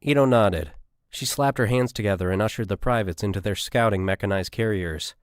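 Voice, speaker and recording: A man's voice: lowish voice, fairly quick, dry recording, studio quality. A man reading a scripted military science fiction story as an audiobook narrator.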